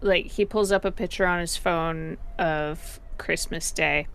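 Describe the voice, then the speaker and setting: A woman's voice: lowish voice, fairly even intonation, measured pace, gravelly, smooth. A woman playing a tabletop role-playing game, casually narrating and voicing her character's part. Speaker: tabletop role-play player.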